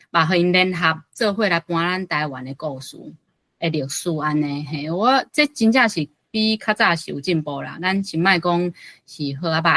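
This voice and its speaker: A woman's voice: fairly low pitch, fairly bright tone, dry recording. A woman guest in an informal discussion, putting questions and comments to an author. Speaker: reading group guest asking questions. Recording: faint music behind. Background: faint music.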